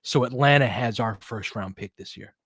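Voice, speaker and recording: Young male fan play-by-play caller, mid-range voice, excitable and laughing, dry close sound. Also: mid-range voice, breathy edge, no echo. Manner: excitable, laughs often, quick asides. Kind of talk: live play-by-play commentary and chat.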